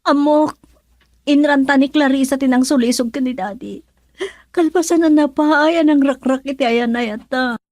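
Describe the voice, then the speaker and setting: A woman playing a troubled young woman in love in a radio drama. Her voice is high, plaintive and emotional, recorded dry and close. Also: normal speed, a little mellow, dry recording, natural voice. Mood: worried.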